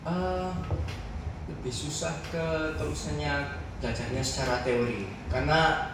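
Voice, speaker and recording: A teenage boy speaking as a podcast guest, searching for words and restarting his sentences. He sits back from the mic in a very echoey room. A steady noise hum runs underneath. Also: on the high side, measured pace, distant mic. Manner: hesitant, many restarts and short laughs. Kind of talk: casual interview answers. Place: school room.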